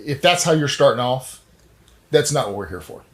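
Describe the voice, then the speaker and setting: Us guy hosting a podcast, casual and blunt, folksy, average male pitch. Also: very animated, normal speed, a bit bright. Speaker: podcast host. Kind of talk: casual interview chat.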